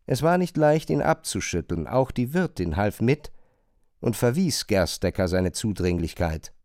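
A man's voice: fairly low pitch, sing-song, a little slow, a little mellow, no room sound, clean studio sound. A man reading scripted literary narration for a radio drama. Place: dry studio.

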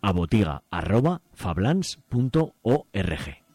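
A man presenting a radio magazine, reading out listings in a halting way with drawn-out phrase endings. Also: fairly low pitch, sing-song, over loud music.

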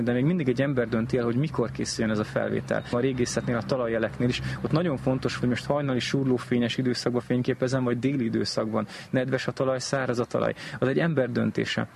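A man's voice: medium pitch, vocal fry, darkish tone, slight background noise. A man giving an expert interview, explaining methodically and listing examples as he goes. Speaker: expert interviewee in aerial remote sensing.

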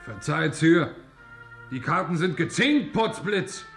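An actor playing a brusque, indignant duke at court in a radio play, formal and barking. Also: male voice, on the high side, lively intonation, slow, vocal fry, a bit bright, faint music behind, heavily acted. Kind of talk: German radio play acting.

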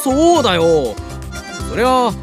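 A man voicing a cheerful, relaxed younger brother who talks casually and calms his older brother, in a drama CD. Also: very high voice, slow pace, music in the background, full character voice. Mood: easygoing. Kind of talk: anime drama CD acting.

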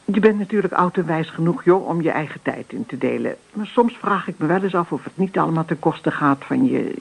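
An actress playing a middle-aged farm mother in a Dutch radio play, anxious, hesitant and gently admonishing. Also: sits low, animated, a little fast, creaky, breathy edge, exaggerated voice. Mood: worried.

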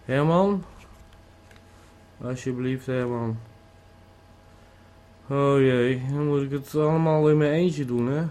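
A man playing a weary, befuddled caller in an audio play, halting and stammering as he repeats himself. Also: mid-range voice, warm tone, close to an everyday voice. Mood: forlorn, muddled. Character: weary, befuddled man lost on a remote call. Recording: background noise.

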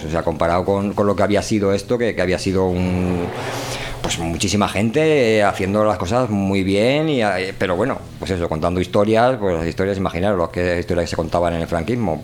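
A man's voice: deep voice, darkish tone. A man with a Spain accent hosting a radio chat in an informal, rambling way. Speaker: radio show host. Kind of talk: casual conversational radio chat.

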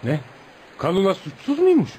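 An actor playing a curious, sympathetic male journalist in a radio play, speaking in an inquisitive, animated way. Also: high voice, moderate pace, slight background noise. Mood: curious, surprised. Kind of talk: radio theatre acting.

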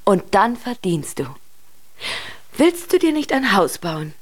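An actress playing a middle-aged dwarf mother, practical, coaxing and brisk, in a children's radio play. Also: mid-range voice, big pitch swings. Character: practical, matchmaking dwarf mother, smith's wife.

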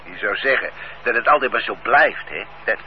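A man's voice: lowish voice, animated, normal speed, close to an everyday voice. A man playing a grumbling, dreamy old ex-factory worker. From Netherlands. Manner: grumbling, stammering repeats, dreamy. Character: John, idle older male ex-factory worker, grumbling dreamer. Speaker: radio play character. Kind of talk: radio drama acting. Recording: dull lo-fi radio play recording with faint hiss.

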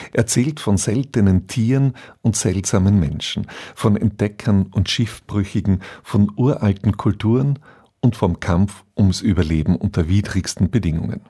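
A man's voice: lowish voice, warm tone, breathy edge. A man presenting a scripted introduction to a radio drama.

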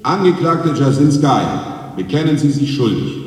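A man's voice: mid-range voice, big pitch swings, lightly acted. Echoing stern male tribunal judge by an actor. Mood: stern, formal. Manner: official, declamatory, measured. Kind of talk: radio drama acting. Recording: distant, very echoey large hall.

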